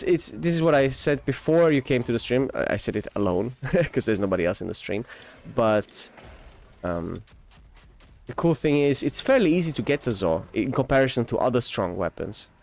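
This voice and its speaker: A man's voice: mid-range voice, gravelly, darkish tone. Austrian-born man streaming a game. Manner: rambling, frequent restarts and self-corrections; enthusiastic, laughs often. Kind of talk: casual live-stream gameplay chat.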